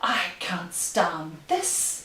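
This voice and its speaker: A woman voicing a weary, exasperated father bear from a picture book, with drawn-out, dismayed delivery. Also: on the low side, big pitch swings, pushed and bright, exaggerated voice. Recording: roomy, distant, hissy home recording.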